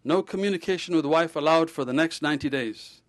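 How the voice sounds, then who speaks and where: A man voicing a blunt, jovial male surgeon who tells stories in a lively, teasing and theatrical way. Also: on the high side, moderate pace, voice pushed up, close to an everyday voice.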